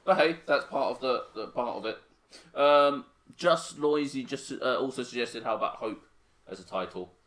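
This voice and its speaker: A man from Hong Kong with a very deep voice, chatting and joking as he co-hosts his son's piano livestream and reads out viewer comments. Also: normal speed, a bit bright, low-quality recording. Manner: chatty, joking, self-mocking. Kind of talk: casual chat reading viewer comments.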